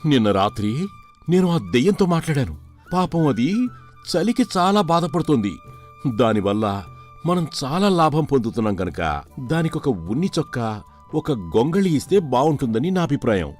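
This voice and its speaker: A man's voice: mid-range voice, very animated, moderate pace, darkish tone, close to an everyday voice. Guy acting as a kind nosy servant man, earnest and inquisitive, kids moral story, faint music behind. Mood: persuading. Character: curious, kind-hearted male household servant Gangulu. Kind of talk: children's moral story dramatization.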